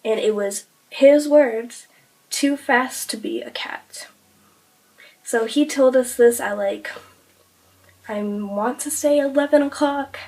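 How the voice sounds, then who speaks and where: Hoarse young woman telling a spooky story. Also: on the high side, darkish tone, recorded from a distance, rough recording. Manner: chatty, breathless retelling with many fillers. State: hoarse, losing her voice from illness. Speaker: vlogger. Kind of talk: casual storytelling to camera.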